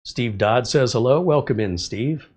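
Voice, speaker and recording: A man's voice: medium pitch, animated, measured pace, darkish tone, breathy edge. A middle-aged man hosting a casual livestream, a little congested, chatting in a rambling way and reading viewer comments aloud. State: slightly congested. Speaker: livestream host.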